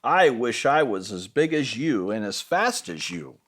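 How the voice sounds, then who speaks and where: Man voicing an eager little girl sleigh, very lively. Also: medium pitch, measured pace, pitched below the performer's own voice, full character voice. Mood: hopeful, eager. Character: small eager young female sleigh, hopeful and wishful.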